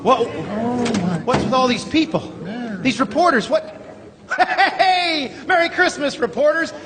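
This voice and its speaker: A man in a live old-time radio drama playing an overjoyed family man, excitable, stammering and exclaiming. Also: extremely high pitch, measured pace, crowd noise. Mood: elated. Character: exuberant, overjoyed family man, hero of a Christmas fable. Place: live theater stage. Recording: reverberant theater stage recording.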